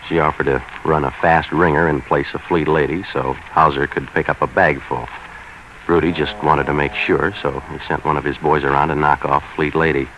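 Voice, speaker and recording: An actor playing a cynical, world-weary private investigator, narrating in terse, deadpan slang in a 1940s radio noir style. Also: male voice, a bass voice. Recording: muffled, hissy 1940s radio recording.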